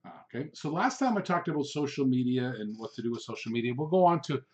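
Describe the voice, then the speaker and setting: A man's voice: medium pitch, lively intonation, fast, darkish tone. A man, a native Hungarian speaker, hosting an informal solo coaching podcast, talking in an emphatic, rambling way and repeating himself to drive points home. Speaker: podcast host, real estate sales coach. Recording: dull, lo-fi podcast recording.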